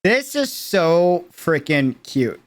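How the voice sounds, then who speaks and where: Young, slangy livestream reactor, mid-pitched and gushing, loud music underneath. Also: very animated, slow. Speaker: streamer reacting to and breaking down a game trailer. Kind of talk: casual livestream reaction commentary. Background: loud game trailer music.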